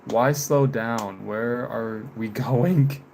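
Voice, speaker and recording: A young man in his twenties talks casually on a live stream at an unhurried pace. He is halting and reflective, often letting sentences trail away. There is faint noise behind his voice. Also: medium pitch, vocal fry, darkish tone. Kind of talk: casual live stream chat answering viewers. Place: room with window blinds, indoors.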